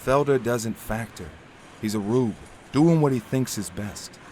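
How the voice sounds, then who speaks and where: An actor playing a serious, worried investigator with clipped hard-boiled delivery in a noir audio drama. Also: male voice, mid-range voice, measured pace, mellow, a little hiss or hum, lightly acted. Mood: grave, probing. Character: earnest, worried male investigator in noir moon city.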